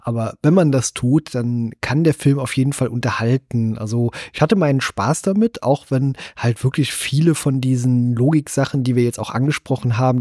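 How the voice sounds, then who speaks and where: A man speaking as a podcast host, chatting casually about a film in a measured, colloquial way. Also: medium pitch, a little mellow, husky, dry recording, clean studio sound. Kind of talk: casual chat, film discussion.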